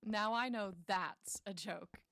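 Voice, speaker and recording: A woman's voice: mid-range voice, slow, creaky, fairly bright tone. An actress playing a guarded young woman patient in an audio drama, sardonic and hesitant.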